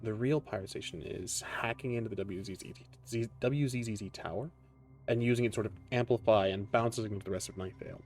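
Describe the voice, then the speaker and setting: A man with a fairly low voice runs a tabletop roleplaying game as game master. He moves between narration and casual asides, with soft music behind him. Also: fast talker.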